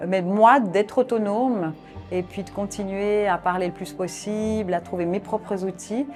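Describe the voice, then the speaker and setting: A woman's voice: mid-range voice, steady tone, a little slow, over music. A woman from Switzerland giving an informal, spontaneous testimonial as a course participant.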